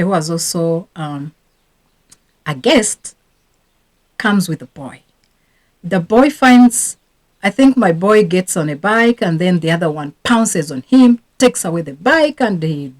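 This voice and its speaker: A woman from Rwanda, a teacher and parenting coach, talking casually and earnestly to camera about her own parenting regrets. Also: mid-range voice. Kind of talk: casual advice talk to camera.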